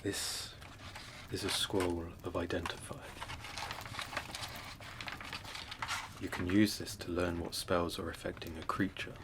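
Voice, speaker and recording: A man playing a calm, scholarly sorcerer mentor in an ASMR fantasy roleplay, speaking softly and gently in measured, near-whispered phrases. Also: deep voice, noisy background, lightly acted. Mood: calm, instructive. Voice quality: soft, breathy, often near whisper. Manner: slow, measured, gentle, explanatory. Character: calm scholarly male sorcerer mentor showing magical relics.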